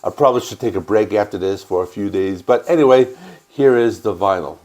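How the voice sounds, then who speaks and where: A man with a stuffy cold, hosting a music channel and calmly explaining an album's history in a casual, matter-of-fact way. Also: fairly low pitch, brisk. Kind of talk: casual record review and band history.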